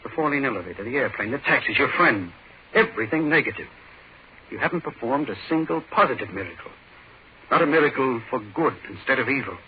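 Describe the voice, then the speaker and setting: A man playing an agitated, commanding doctor with urgent, halting melodrama in a 1940s radio horror play. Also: medium pitch, expressive, natural voice. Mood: tense realization. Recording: muffled, hissy 1940s radio recording.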